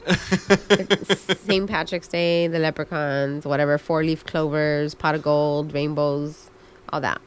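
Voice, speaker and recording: A middle-aged woman co-hosting a talk show in casual, unscripted banter, brash and quick to swear. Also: low-pitched, steady tone, smooth. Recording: noisy background.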